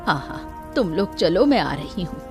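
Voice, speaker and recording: A woman's voice: lowish voice, very animated, moderate pace, over music. A woman playing a meek, long-suffering old mother-in-law who pleads and effaces herself in an acted story. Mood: hurt, pleading. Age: older. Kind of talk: Hindi audio story acting.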